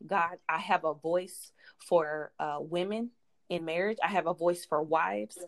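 A woman's voice: lowish voice, fairly even intonation, measured pace. A married woman speaking as a podcast guest, giving an earnest faith-based testimony, often repeating words and restarting her sentences. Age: adult.

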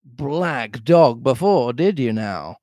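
A man voicing a fruity, theatrical, commanding pirate innkeeper with drawn-out, suggestive delivery in a storybook reading. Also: high voice, big pitch swings, dry recording, studio quality, voice pushed up, exaggerated voice. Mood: stern. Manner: drawn out, innuendo-laden delivery.

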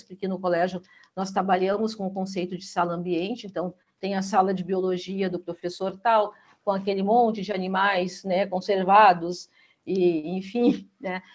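A woman's voice: medium pitch, darkish tone. A woman, answering interview questions about her school in a chatty way, with frequent fillers. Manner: chatty, uses many fillers like né. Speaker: school representative.

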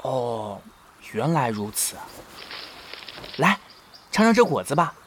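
A man playing a shy, playful, lovestruck young male fox spirit in a period Chinese audio drama, with an expressive, bashful, slightly whiny delivery. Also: medium pitch, slow pace, a little hiss or hum. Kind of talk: ancient-China romance audio drama acting.

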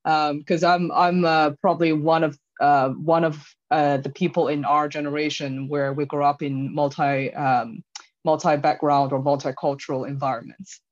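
A woman's voice: deep voice, level tone, normal speed, highs cut off. A woman in her thirties from China, answering interview questions concisely with some hesitant fillers. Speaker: interview guest, tech leader.

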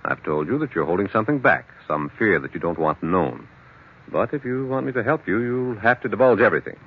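An actor playing a stern, probing psychiatrist in a 1940s radio drama, with measured clinical questioning on a muffled old recording. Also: male voice, on the low side, expressive, breathy edge.

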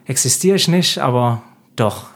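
Man, comedian guest, dry ironic humor, mid pitch. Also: lively intonation, moderate pace, fairly bright tone. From East Belgium. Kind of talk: casual podcast chat.

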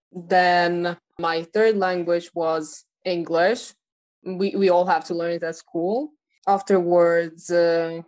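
A woman's voice: lowish voice, darkish tone. An eighteen-year-old woman from Ukraine, a native Russian speaker, answering interview questions with many fillers and restarts. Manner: quick, with frequent fillers and restarts. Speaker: podcast guest, polyglot.

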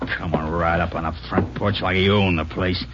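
An actor playing a mean, hot-tempered West Texas cotton farmer with a gruff, domineering rural manner in a 1950s radio drama. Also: male voice, deep voice, fairly even intonation, moderate pace, lo-fi sound, loud music behind the voice, close to an everyday voice. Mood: menacing. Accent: Texan. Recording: noisy, band-limited old radio recording.

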